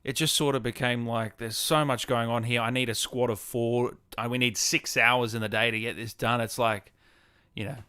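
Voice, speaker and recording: Casual male streamer with a clean, smooth voice. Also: medium pitch, steady tone, normal speed, pushed and bright, no room sound. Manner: informal, matey, calls viewers brother and man. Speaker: live stream host and gaming content creator. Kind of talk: casual chat, reading and answering viewer comments.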